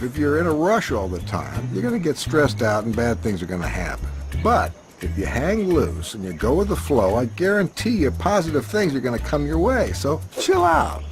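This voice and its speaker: An actor playing a mellow male surfer mentor giving easygoing advice in a game. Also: medium pitch, close to an everyday voice.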